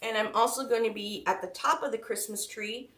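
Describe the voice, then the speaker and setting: A woman's voice: fairly high pitch, steady tone, a little fast, a bit bright, distant mic. A woman with a head cold hosting a live craft tutorial, chatty and greeting viewers by name. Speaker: craft shop host. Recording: roomy sound, mic set back.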